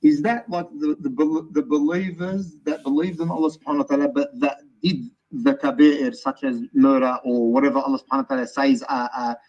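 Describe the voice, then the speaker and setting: A man's voice: mid-range voice, steady tone, brisk, few pauses, a little mellow. A man calling in to ask a question, stumbling over repeated words and restarts as he speaks. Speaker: caller asking a question. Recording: dull lo-fi call audio.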